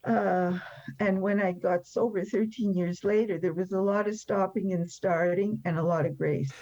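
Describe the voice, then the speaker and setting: A woman's voice: medium pitch, steady tone, normal speed, a little mellow. A woman in her seventies telling personal stories as an interview guest, conversationally, in a candid, wry and emphatic way. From born in France. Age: 70s. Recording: dull, lo-fi sound.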